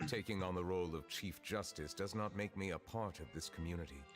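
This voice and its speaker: A very deep, measured male voice, from an actor playing an ancient dragon turned judge, formal and solemn in every word. Loud music runs under him in the game. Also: close to an everyday voice.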